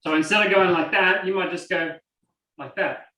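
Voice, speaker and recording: Australian male trainer, chatty, slightly high, echoey and off-mic. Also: moderate pace, far from the mic. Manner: lively, conversational, acts out examples. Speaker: NLP trainer. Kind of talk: teaching a live online class. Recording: roomy and from off the mic.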